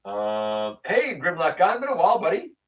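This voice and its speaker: Bright-voiced man hosting a live chat. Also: wildly swooping pitch, moderate pace, recorded from a distance. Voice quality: sometimes a little raspy. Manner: opinionated, ranting with rising pitch when worked up. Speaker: host reading viewer comments. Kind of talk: casual live-stream chat.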